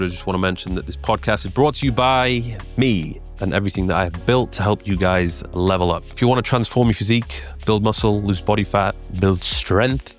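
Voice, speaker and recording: A man speaking casually and conversationally as the host of a podcast. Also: deep voice.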